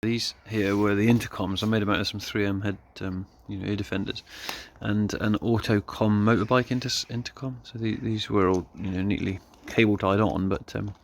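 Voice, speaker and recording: A man's voice: fairly low pitch, little pitch movement, moderate pace, few pauses. A man talking casually and off the cuff as he presents a hands-on DIY demonstration, informal and self-deprecating. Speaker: car hobbyist presenting a DIY video.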